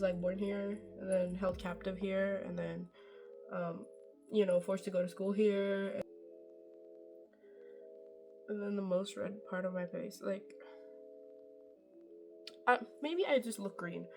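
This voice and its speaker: Chatty young woman doing a makeup tutorial. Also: medium pitch. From Norway. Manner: chatty, rambling, self-deprecating, swears casually. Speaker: beauty vlogger. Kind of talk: casual makeup tutorial chat.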